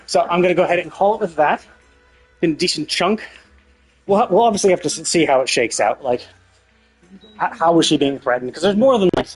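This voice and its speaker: Husky-voiced man, rambling game streamer. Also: medium pitch, rough recording. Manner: rambling, thinking aloud. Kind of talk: casual live stream commentary, speculating aloud.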